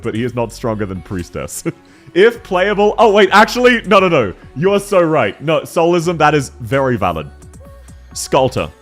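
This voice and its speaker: A young man with a deep voice streaming a game and chatting with viewers, excitable and slangy, laughing often and quick to correct himself. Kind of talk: casual live-stream chat, answering viewer questions.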